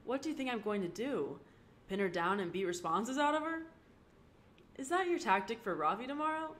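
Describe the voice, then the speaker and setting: A woman voicing a dry-witted seventeen-year-old girl with a deadpan, wry manner. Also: fairly high pitch, brisk, a little hiss or hum, voice pushed up.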